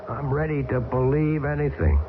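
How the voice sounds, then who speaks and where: An actor playing a wisecracking American pilot stranded in the Arctic, jaunty and joking, in an old radio drama. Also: male voice, medium pitch, very animated, normal speed, lightly acted. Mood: curious. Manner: jaunty, joking, turning alarmed. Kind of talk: 1970s radio drama acting. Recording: hissy, tinny old radio broadcast.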